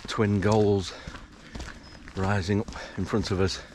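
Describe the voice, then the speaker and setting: A man narrating a morning countryside walk in a relaxed, hesitant way, full of fillers, his voice a little croaky from just waking up. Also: on the low side, slow pace, noisy background. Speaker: hiking vlogger. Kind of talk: casual walking commentary. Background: rural outdoor sounds, animals.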